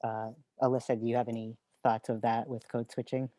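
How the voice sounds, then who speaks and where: A male moderator with a mellow, even voice speaks in a dry, close sound. He stumbles a little as he looks for the right words. He hands the floor to the panelists. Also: mid-range voice, no echo. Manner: halting, searching for words.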